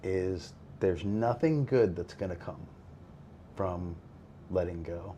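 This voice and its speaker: A man hosting a faith-based recovery conversation, talking in a relaxed, reflective way with many pauses and fillers. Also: deep voice, slight background noise. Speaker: podcast host.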